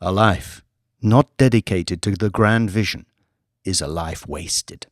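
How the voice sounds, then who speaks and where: A man's voice: low-pitched, slow pace, dry recording. A man reading dramatic scripted audiobook narration into a close mic.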